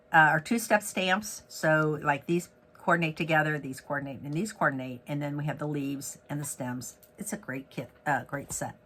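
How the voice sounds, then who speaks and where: A man with a head cold, sounding raspy and clogged up, chatting upbeat through a card-making demonstration as host. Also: fairly high pitch, sharp tone. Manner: chatty and upbeat, with many fillers and self-corrections. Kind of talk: casual card-making tutorial.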